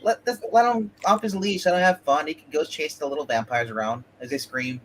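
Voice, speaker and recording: A man's voice: a little high, brisk, fairly bright tone, a little hiss or hum, poor audio. A man playing a tabletop roleplay game, speaking in casual banter.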